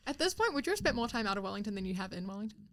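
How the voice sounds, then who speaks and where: A woman's voice: medium pitch, sing-song, racing, a bit bright, slight background noise. A young woman co-hosting a podcast, chatting casually, laughing often and using lots of filler words. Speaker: podcast co-host. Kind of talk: casual chat.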